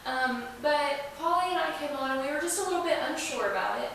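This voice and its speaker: High-voiced woman in a very echoey room. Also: lively intonation, fast, fairly bright tone. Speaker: interview guest, museum representative. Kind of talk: casual interview talk. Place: indoors in an old museum building. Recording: very echoey room, distant mic, hiss.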